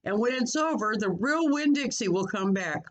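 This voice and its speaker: Lady reading a preacher dad in a kids book, bemused, measured way of talking. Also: medium pitch, animated, lightly acted. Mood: reassuring.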